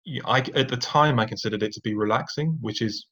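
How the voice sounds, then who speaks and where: A man's voice: medium pitch, quick pace, a bit bright, breathy edge. A man, a podcast guest, telling his personal story in a reflective way with fillers and restarts. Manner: reflective, many fillers and restarts, occasional rueful laughs. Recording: dull lo-fi sound.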